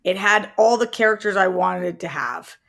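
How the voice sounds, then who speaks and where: A woman's voice: mid-range voice, normal speed, gravelly, fairly bright tone. Female podcast co-host in casual banter.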